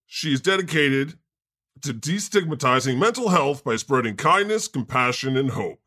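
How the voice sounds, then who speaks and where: A man hosting a comedy podcast in the persona of God, joking in a deadpan, self-mocking way. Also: mid-range voice, normal speed, no room sound, clean studio sound. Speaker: podcast host.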